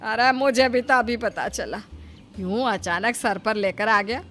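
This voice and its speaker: A woman's voice: fairly high pitch, unhurried, fairly bright tone, soft background music. A woman voicing a possessive, ailing middle-aged mother-in-law in a cartoon, talking in a bossy, complaining way. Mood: grumbling. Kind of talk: cartoon voice acting.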